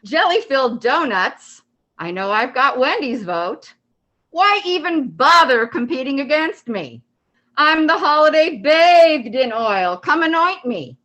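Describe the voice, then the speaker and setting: A woman's voice: high voice, animated, measured pace, bright, forceful tone, highs cut off, exaggerated voice. A woman in amateur reader's theater, playing a smug, showy holiday that boasts of its popularity in a declarative way. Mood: smug boasting.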